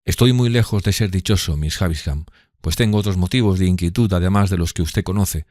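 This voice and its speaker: A man voicing an earnest, courteous young gentleman in an audiobook, with a polite and sincere manner. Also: deep voice, brisk, dry recording, studio quality, close to an everyday voice. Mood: sincere, subdued. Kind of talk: audiobook character reading.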